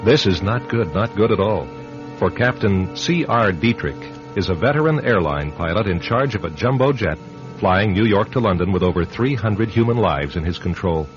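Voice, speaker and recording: An actor playing an ominous narrator who announces a suspense radio drama. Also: male voice, fairly low pitch, animated, moderate pace, music in the background, lightly acted. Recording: dull old radio broadcast.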